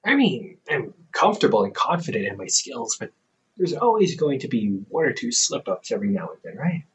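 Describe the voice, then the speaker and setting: A man playing a teasing, reassuring older brother, a scholar in his thirties, in an intimate, casual audio roleplay with halting, conversational delivery. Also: mid-range voice, very animated, fairly bright tone, poor audio. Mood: reassuring, reflective. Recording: hobby microphone with faint background noise.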